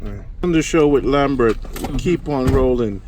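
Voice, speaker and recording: A man's voice: mid-range voice, lively intonation, moderate pace, a little mellow, a little hiss or hum. A man hosting an interview show, prompting his guest in an encouraging, showman-like way. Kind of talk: enthusiastic host interview.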